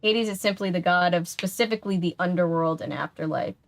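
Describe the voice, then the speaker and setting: A woman's voice: lowish voice, brisk, creaky. A woman streaming a video game, chatting casually and rambling as she plays. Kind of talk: casual chat while gaming.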